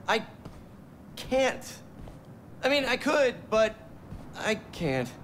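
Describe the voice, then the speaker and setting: An earnest young American man, a worried hero in a video game. He talks hesitantly and sincerely with animated pitch, over faint noise. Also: drawn-out words, heavily acted. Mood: troubled. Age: young adult. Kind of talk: naturalistic game cutscene acting.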